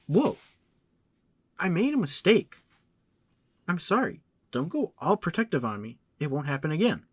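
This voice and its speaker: A man's voice: a little high, sing-song, normal speed, slightly rough, no echo. A man playing a brash, impulsive young superhero sidekick who wisecracks in an audio drama. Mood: defensive. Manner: wisecracking, defensive.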